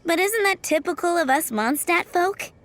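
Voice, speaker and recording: A woman voicing an expressive, sentimental young townswoman in a video game. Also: very high-pitched, normal speed, faint music behind. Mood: wistful, warm.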